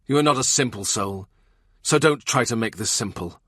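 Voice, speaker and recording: Man doing an angry minister, loud and blunt, low voice pushed up, dry read. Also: normal speed, husky, no echo. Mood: heated. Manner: forceful, heated, blunt. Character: forceful male royal minister, blunt and angry.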